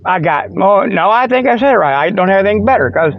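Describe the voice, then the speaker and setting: A man's voice: sits high, expressive, quick pace, slight background noise. An American man hosting a comic show in a rambling casual monologue, folksy and meandering, with joking asides. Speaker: host of a comic Sasquatch show. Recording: dull, lo-fi radio-like sound, close and dry.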